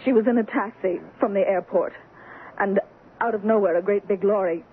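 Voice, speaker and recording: An actress playing a fey, anxious young wife with premonitions in a 1970s radio drama, breathless and urgent. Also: female voice, mid-range voice, moderate pace, close to an everyday voice. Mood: anxious, pleading. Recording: tinny, slightly noisy 1970s radio.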